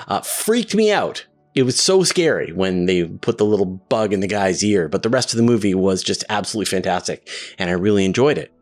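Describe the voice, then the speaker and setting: A man in his fifties hosts a relaxed solo question-and-answer show, chatting his way through answers and personal stories. His voice sits on the low side, and his talk drifts along with plenty of "uh" and "you know" fillers. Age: 50s. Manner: reads a long list of names quickly. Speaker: host. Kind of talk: casual solo Q&A monologue.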